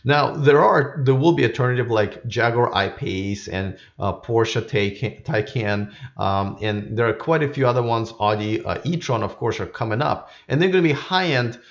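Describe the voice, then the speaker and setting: A man's voice: medium pitch, lively intonation, moderate pace, few pauses, muffled recording. A man hosting a live stream with casual, unscripted commentary, rambling and often repeating his words as he goes. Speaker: YouTube live stream host.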